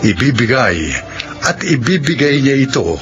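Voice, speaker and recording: A man's voice: mid-range voice, sing-song, normal speed. A man with a Filipino accent reading scripted narration and credits as a radio drama host. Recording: muffled radio broadcast with music.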